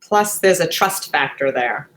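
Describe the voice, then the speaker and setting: A woman's voice: low voice, lively intonation, a little slow, a bit bright. A woman co-hosting a casual interview, talking earnestly and rephrasing as she goes. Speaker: co-host interviewing guests.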